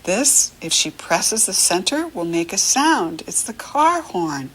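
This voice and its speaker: An American woman reading a picture book to young children as a lesson, encouraging and animated, asking questions as she goes. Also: medium pitch, slow, breathy edge, low-quality recording. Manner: encouraging, asks questions and leaves room to answer; animated, sing-song child-directed talk.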